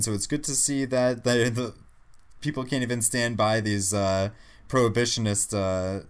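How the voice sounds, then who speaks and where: A man's voice: lowish voice, clear, resonant tone. A man co-hosting a podcast, giving casual news commentary in a conversational, restarting way. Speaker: podcast co-host.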